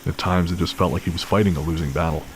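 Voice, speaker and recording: A man's voice: sits low, steady tone, fast, noisy background. A man reading scripted narration for a ghost story audio drama.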